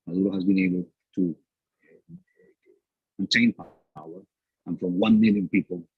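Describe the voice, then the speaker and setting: Deep husky man, halting earnest account. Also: dry recording, narrow, tinny audio. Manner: earnest, halting, many fillers and restarts. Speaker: panel guest, exiled former mayor. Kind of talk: personal account at a panel talk.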